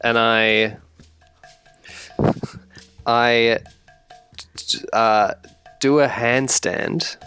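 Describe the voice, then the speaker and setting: Relaxed, slow-paced New tabletop player. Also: mid-range voice, smooth, faint music behind. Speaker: player in a tabletop role-playing game. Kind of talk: casual improvised tabletop roleplay chat.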